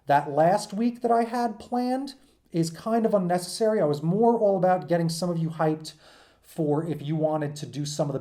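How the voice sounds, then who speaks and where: Mellow-voiced man giving an informal lecture. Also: a little high. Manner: rambling, frequent check-ins like "okay?" and "right?". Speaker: teacher giving an assignment. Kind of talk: informal lecture to students, off the cuff.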